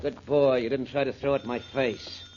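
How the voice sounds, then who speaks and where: A man's voice: mid-range voice, fairly even intonation, normal speed, natural voice. A male actor playing a cocky, smugly polite masked robber who commands and taunts, in 1940s radio crime drama style. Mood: smug, threatening. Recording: noisy muffled 1940s radio recording.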